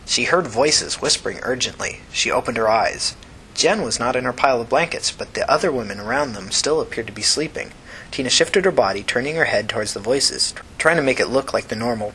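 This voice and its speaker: A man narrates a novel in a mid-pitched voice with a bright, forceful edge. The style is scripted audiobook reading, recorded with clear background noise and a low rumble. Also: lively intonation, a little fast, rough recording.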